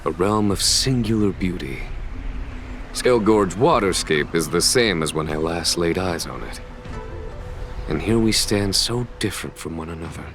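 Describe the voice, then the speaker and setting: An actor playing a composed fantasy general in a game, speaking in measured, formal, eloquent phrases. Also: male voice, sits low. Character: composed, stately male general of a fantasy realm. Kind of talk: dramatic scripted game dialogue. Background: background music.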